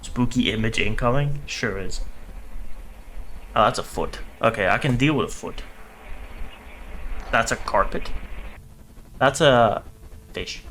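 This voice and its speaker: A man's voice: medium pitch, animated, takes it slowly, long pauses, a bit bright, a little hiss or hum, low-quality recording. A man doing a casual livestream reaction, reading captions aloud and commenting in a joking, irreverent, sweary way. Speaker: streamer reacting to horror videos.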